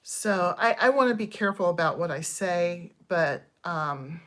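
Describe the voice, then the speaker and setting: Chatty woman in her fifties, lowish voice. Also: lively intonation, a little slow, fairly bright tone. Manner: chatty and digressive, thanking viewers mid-story; candid, frustrated complaints with frequent ums. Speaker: channel host. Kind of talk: casual livestream chat.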